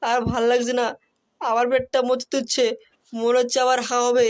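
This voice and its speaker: A man's voice: very high-pitched, completely flat delivery, moderate pace. Whining sick young cartoon fellow, acted by a man. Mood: miserable, whining. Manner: complaining, whiny. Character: sick whining young male friend with stomach cramps. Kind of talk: comic cartoon voice acting.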